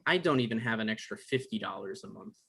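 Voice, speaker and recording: A man's voice: lowish voice, animated, a bit bright, slightly rough, heavily acted. A man playing an anxious, apologetic male writer who gets defensive and stammers, in a screenplay table read. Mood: defensive, sheepish. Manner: halting, stammering.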